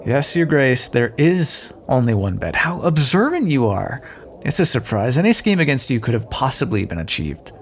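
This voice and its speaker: A man's voice: mid-range voice, big pitch swings, normal speed. A man playing a roguish, sardonic but decent thief in an audio roleplay, talking directly and teasingly to the listener. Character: roguish male thief escorting a deposed queen, wry but decent.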